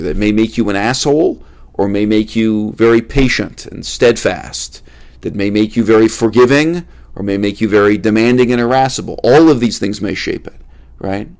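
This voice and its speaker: Low, raspy-fry male host giving a blunt, emphatic talk to camera. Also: moderate pace, a little mellow. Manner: emphatic, repeats key words for stress; addresses viewers directly, asks rhetorical questions. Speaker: channel host. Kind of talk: unscripted philosophical monologue to camera.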